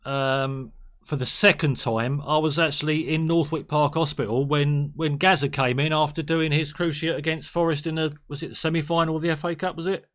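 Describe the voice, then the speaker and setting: A man's voice: a little high, fairly even intonation, brisk, fairly bright tone. A man hosting a casual game stream, chatty and jokey as he reminisces. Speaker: stream host.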